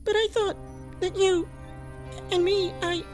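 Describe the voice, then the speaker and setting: A woman's voice: very high-pitched, slow, over music. A woman voicing a coy, hesitant young female passenger in a comic sci-fi audio serial. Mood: hurt, hesitant.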